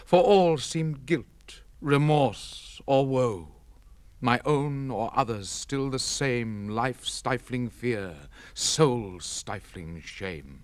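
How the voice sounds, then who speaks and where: Man reading old poetry real slow and intense, stagey diction, very dry close sound. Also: medium pitch, no echo. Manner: solemn, intense, theatrical delivery. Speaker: poetry reader. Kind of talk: dramatic recitation of classic poetry. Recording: close, dry 1960s spoken-word record.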